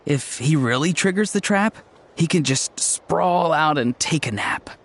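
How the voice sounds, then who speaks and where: An earnest, lighter-voiced young hunter. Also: male voice, on the high side, expressive, unhurried. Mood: earnest, eager. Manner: matter-of-fact, sincere, literal. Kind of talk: video game voice acting.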